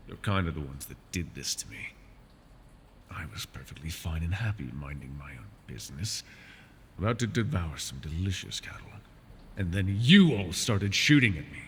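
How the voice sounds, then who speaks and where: A man's voice: deep voice, pushed and bright, a little hiss or hum, exaggerated voice. A man voicing a proud, wounded male dragon in an ASMR fantasy roleplay, haughty and scornful while he is tired and in pain. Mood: boastful.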